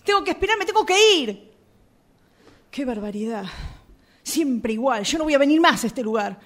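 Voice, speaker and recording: A woman's voice: high-pitched, animated, moderate pace, a bit bright. A woman playing an impatient, fed-up customer grumbling and complaining in a radio theatre exercise. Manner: complaining, exasperated. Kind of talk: radio theatre workshop monologue.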